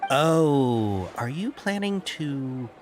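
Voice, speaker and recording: A man's voice: mid-range voice, big pitch swings, drawn-out words. A man voicing an eager, obliging, polite travelling merchant in a video game. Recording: music behind the voice.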